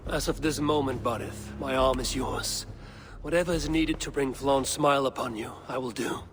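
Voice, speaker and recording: An actor playing a proud, loyal Viking warrior in a video game, speaking in solemn, measured, old-fashioned phrases. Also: male voice, medium pitch, a bit bright, slight background noise, close to an everyday voice. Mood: grave, determined. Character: loyal battle-hardened male Viking warrior, proud and resolute. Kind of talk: dramatic video game acting. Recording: music behind the voice.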